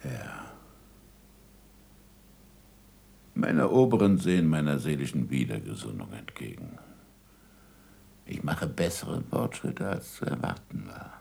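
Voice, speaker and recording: An older actor playing a weary, disgraced scientist in a German radio play, guarded and bitterly ironic, with a gravelly, often hushed voice. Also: male voice, rumbling low, sing-song, a little slow, exaggerated voice. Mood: guarded, resigned.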